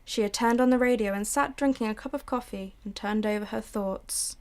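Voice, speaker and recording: Young woman narrating with vocal fry. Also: on the high side. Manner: measured, brooding storytelling. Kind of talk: scripted dramatic narration.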